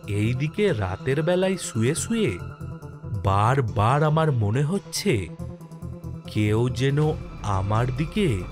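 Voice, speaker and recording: A man playing an easygoing village postmaster who narrates his own ghost story in an expressive, dramatic way for a horror cartoon. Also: medium pitch, slow pace, pauses often. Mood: uneasy, suspenseful. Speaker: first-person narrator and lead character. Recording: music under the voice.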